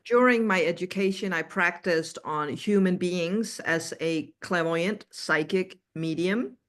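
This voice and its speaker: A middle-aged woman from Denmark with a Danish accent, explaining her work as an interview guest in a measured, halting way. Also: fairly low pitch. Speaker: interview guest, animal communicator.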